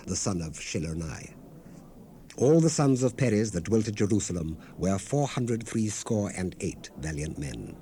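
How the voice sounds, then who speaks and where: A man narrating scripture in a solemn, measured, deliberate scripted reading, heard on an unprocessed 1970s cassette rip. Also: sits low, dark tone, slight background noise.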